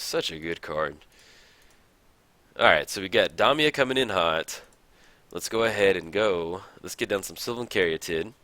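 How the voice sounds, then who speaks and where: A man casually commenting on his own card game match, thinking aloud with many fillers, his voice a bit raspy in the morning. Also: sits low, bright, forceful tone, no echo. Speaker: card game video host.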